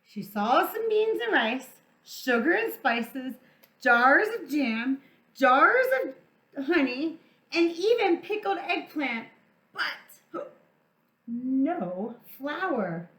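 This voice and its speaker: High-pitched, animated woman reading a children's story. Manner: theatrical, deliberate, drawn-out emphasis on words. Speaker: children's storytime teacher and host. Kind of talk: storybook read-aloud for young children. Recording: echoey room sound.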